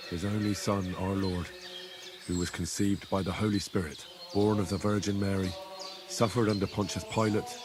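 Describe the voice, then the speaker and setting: An actor playing a devout medieval man, slowly reciting a prayer in a measured, reverent way. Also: fairly low pitch, close to an everyday voice. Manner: measured, reverent, steady chanting. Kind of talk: slow solemn prayer recitation. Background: game music.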